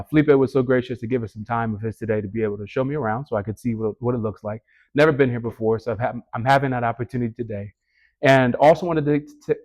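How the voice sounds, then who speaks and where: Fast-talking, upbeat male podcast host. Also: medium pitch, a little mellow, muffled recording. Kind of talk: casual interview.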